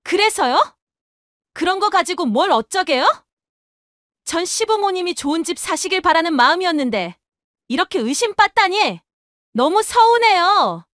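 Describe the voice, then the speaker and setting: A woman's voice: very high-pitched, big pitch swings, moderate pace, fairly bright tone, no room sound, clean studio sound, heavily acted. A woman playing a brazen, snippy young daughter-in-law who justifies herself with scorn in an audio drama. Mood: sarcastic, aggrieved. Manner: curt, snippy, sarcastic.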